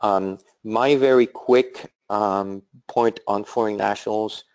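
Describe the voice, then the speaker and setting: European accent guy, middle-aged, real low gravelly voice, halting with lots of uh's, webinar talk. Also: steady tone, darkish tone, no room sound, rough recording. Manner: thoughtful, halting, with frequent fillers and restarts. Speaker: academic leader presenting. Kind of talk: webinar presentation.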